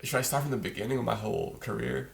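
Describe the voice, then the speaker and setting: Gravelly young man telling stories. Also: mid-range voice, steady tone, fast. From Poland. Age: 20s. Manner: slangy, loose storyteller, fillers like "you know". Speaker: podcast guest. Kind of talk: casual chat, storytelling.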